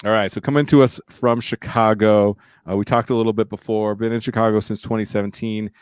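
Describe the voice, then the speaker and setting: Lower-voiced energetic podcast host. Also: male voice, fairly quick, clear, resonant tone, no room sound. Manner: energetic, casual, shifting pace. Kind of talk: podcast interview.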